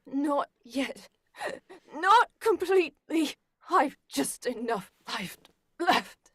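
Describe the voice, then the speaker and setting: Female actor as vengeful undead woman, radio horror, very high, slow haunting voice, close dry sound. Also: expressive, a bit bright, full character voice. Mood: vengeful, eerie. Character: eerie, vengeful undead woman clinging to life. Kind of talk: 1940s horror radio acting.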